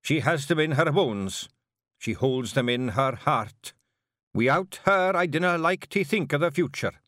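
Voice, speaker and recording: A man's voice: on the high side, lively intonation, fairly bright tone, dry recording, clean studio sound. A man voicing a gloomy Scottish fairy chief. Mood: heavy-hearted. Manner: heavy-hearted, gruff, slow. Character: tough little male fairy chief, gloomy.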